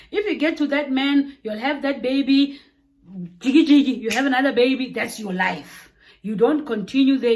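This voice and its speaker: A young woman from Congo with a Congolese accent talks to her viewers in a loose, lively vlog. Her voice sits a little high, and she laughs easily and slips into playful mimicked voices. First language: Kikongo-speaking family; Swahili. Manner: animated, chatty, breaks into laughter and mimicked voices. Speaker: vlogger. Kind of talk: casual chat to camera.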